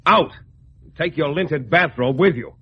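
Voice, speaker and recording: An actor playing a haughty, bossy painter with a morbid streak, imperious and theatrical in old radio comedy style. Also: male voice, a little high, unhurried, vocal fry. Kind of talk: 1940s-50s radio comedy acting. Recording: muffled, faintly noisy old radio broadcast.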